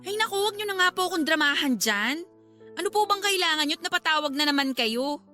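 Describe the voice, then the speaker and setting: A woman's voice: high voice, expressive, brisk, bright, forceful tone, soft background music. A woman acting an emotional student daughter in a Filipino radio drama, speaking with a Filipino accent. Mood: irritated. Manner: emotional, cutting, polite address to parents. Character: hurt, angry student daughter confronting her cheating father.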